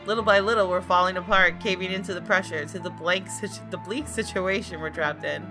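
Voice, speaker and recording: A woman hosting a gaming video, commenting on a visual novel and reading its narration aloud in an expressive, dramatic way. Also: on the low side, a little fast. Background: game music.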